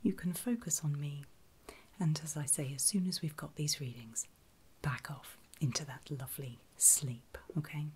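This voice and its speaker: A woman whispering in a breathy, gentle and reassuring way, unhurried, as a nurse in an ASMR medical roleplay. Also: deep voice, slight background noise. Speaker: ASMR performer playing a surgical nurse.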